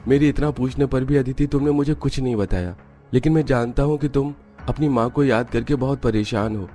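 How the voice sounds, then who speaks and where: An actor playing a kind, attentive young husband in a cartoon family story, speaking earnestly. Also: male voice, medium pitch, fairly quick, mellow, soft background music, lightly acted. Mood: concerned, resolute.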